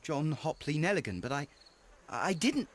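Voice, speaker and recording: A nervous young man under suspicion, high in pitch and pleading. He stammers and hesitates, his voice swinging up and down in game-drama style. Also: very animated, moderate pace, slight background noise. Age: young adult. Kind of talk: scripted video game acting.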